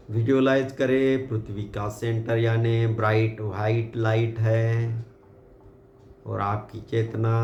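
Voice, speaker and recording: A man with an Indian accent leading a guided meditation, giving calm, unhurried and gently directive instructions. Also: on the low side, gravelly. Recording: muffled, roomy sound with background hiss.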